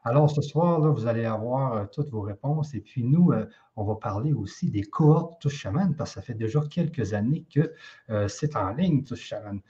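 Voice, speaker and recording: A man with a Quebec French accent opens and guides an online conference in an easy, informal way, leaning on fillers. His voice is dark and mellow, and the call audio sounds dull and muffled. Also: mid-range voice, lively intonation, moderate pace. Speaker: host.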